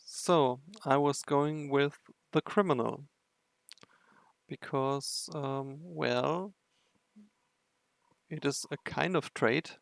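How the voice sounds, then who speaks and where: A man's voice: mid-range voice, drawn-out words, vocal fry, long pauses, no echo. A man giving casual playthrough commentary on a game, speaking in a hesitant way as he thinks aloud. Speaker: game video narrator and player.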